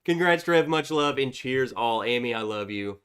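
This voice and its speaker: A man's voice: medium pitch, lively intonation, moderate pace, a bit bright, smooth. A man hosting a casual livestream, reading viewer chats aloud in a chatty, upbeat way, sounding congested from a cold. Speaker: livestream host.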